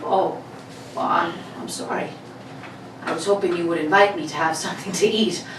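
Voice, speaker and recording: A woman's voice: fairly low pitch, steady tone, moderate pace, close to an everyday voice. A woman playing a suave, mockingly polite male burglar in a 1930s radio play, teasing and genteel. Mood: amused, courtly. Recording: roomy, distant mic, clear noise.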